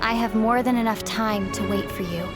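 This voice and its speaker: A woman playing a solemn young princess and long-lost sister in a dramatic video game, grave and deliberate with sorrowful resolve. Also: fairly high pitch, loud music behind the voice, lightly acted. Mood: wistful resolve.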